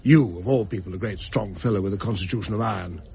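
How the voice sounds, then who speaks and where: An actor playing a concerned, sensible gentleman in a period drama, with measured, formal, old-fashioned phrasing. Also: lowish voice, creaky, slight background noise. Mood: reassuring. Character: concerned, sensible gentleman friend who narrates mournfully. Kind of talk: period radio drama, literary narration.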